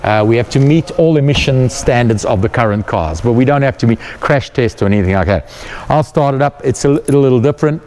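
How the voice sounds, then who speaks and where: A man's voice: mid-range voice, expressive, fairly quick, darkish tone, a little hiss or hum. A South African man, whose first language is not English, leads a casual, enthusiastic tour of his car workshop and often repeats words as he searches for them. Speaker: company founder giving a shop tour. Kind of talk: casual guided tour talk.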